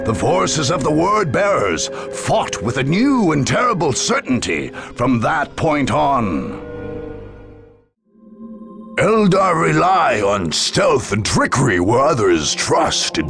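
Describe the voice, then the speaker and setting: A man playing a solemn chronicler of war, narrating a video game in a grave, measured, theatrical style. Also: mid-range voice, vocal fry, exaggerated voice. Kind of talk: dramatic scripted narration. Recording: dramatic music behind.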